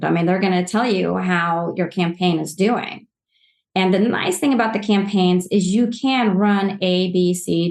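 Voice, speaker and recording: A woman's voice: mid-range voice, a little mellow. A woman in her forties from Poland, answering podcast questions about social media marketing in an enthusiastic, rambling way with frequent restarts. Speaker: social media agency guest. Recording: roomy echo.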